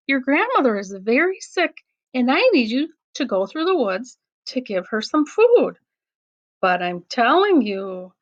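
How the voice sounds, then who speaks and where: Woman as an earnest storybook mother, higher-pitched and lively. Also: highs cut off. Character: concerned mother warning her little girl.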